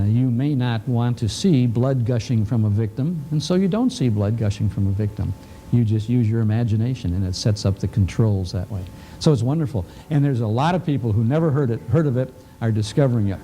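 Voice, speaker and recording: A man giving an unscripted interview explanation as a guest, measured, with deliberate pauses and repeated phrases. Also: medium pitch, warm tone. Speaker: guest, old-time radio broadcaster.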